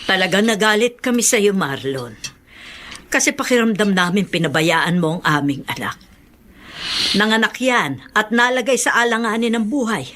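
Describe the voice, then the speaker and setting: A woman's voice: mid-range voice, animated, normal speed, a bit bright, natural voice. A woman acting in a Filipino radio drama as a blunt, stern, elderly mother-in-law who scolds protectively. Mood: scolding, indignant.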